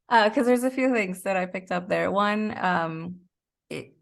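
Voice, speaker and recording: A woman's voice: medium pitch, a little slow, vocal fry. A woman speaking casually as an interview guest, reflective and thinking aloud as she goes. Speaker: interview guest, actor and podcaster.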